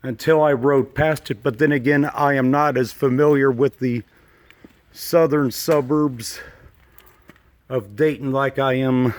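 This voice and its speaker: A man with a slightly froggy voice from allergies, giving halting, musing, folksy commentary as he walks around exploring with a handheld camera. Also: medium pitch, darkish tone. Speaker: urban exploration video maker. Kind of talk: casual unscripted walk-through commentary. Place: walking around an abandoned park site.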